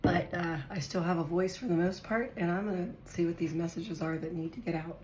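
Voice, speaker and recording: A woman with a hoarse, raspy voice from a virus, chatting casually as she reads tarot for her viewers and thinks aloud while drawing cards. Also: lowish voice, a little fast, a little mellow. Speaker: tarot reader. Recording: echoey room with faint noise.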